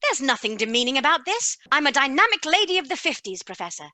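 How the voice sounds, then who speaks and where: A woman playing a jolly, proper 1950s lady scientist with a British accent and a deadpan theatrical manner in a radio comedy parody. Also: sits high, quick pace, very noisy. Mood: brisk, confident.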